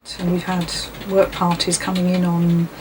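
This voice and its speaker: A woman's voice: on the low side, completely flat delivery, unhurried, loud music behind the voice. A woman speaks informally, as in an interview, giving an account in everyday words. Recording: distant mic, noisy ambient background.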